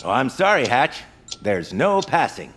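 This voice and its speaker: A man's voice: medium pitch, sing-song, unhurried, fairly bright tone. A man playing an officious radio quiz show host in an audio comedy, showy, polite and firm. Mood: brisk. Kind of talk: game show presenting, audio fiction.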